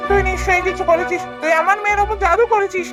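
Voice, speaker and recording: A woman voicing a greedy, sly and excitable male village woodcutter in a cartoon fairy tale, with exaggerated delivery. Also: extremely high pitch, fast, loud music behind the voice. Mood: shocked, accusing. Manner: exaggerated, animated.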